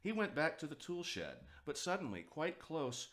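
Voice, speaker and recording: A man's voice: a little high, very animated, moderate pace, fairly bright tone. A man narrating a children's picture book as a storyteller, reading expressively for young listeners.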